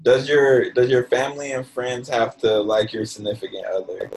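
A man hosts a casual podcast chat, asking questions and teasing his guests in a loose way. His voice sits at an ordinary pitch for a man. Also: steady tone, moderate pace, a little mellow, poor audio. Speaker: podcast host.

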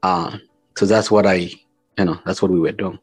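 A man with a South Sudanese accent answering interview questions as a company founder, in informal, halting, repetitive phrasing. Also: low-pitched, steady tone, breathy edge, soft background music. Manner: informal, repetitive, halting phrasing with non-standard English grammar.

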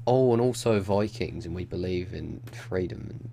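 A man's voice: deep voice, normal speed, gravelly, noisy background. A man co-hosting a podcast, teasing his co-host and swearing often in casual chat.

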